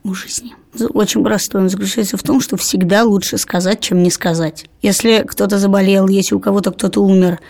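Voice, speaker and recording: A woman's voice: mid-range voice, fairly even intonation, brisk, gravelly, hardly any pauses, darkish tone. A woman co-hosting an advice podcast, talking in a thoughtful, frank way. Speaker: podcast co-host. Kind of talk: casual discussion.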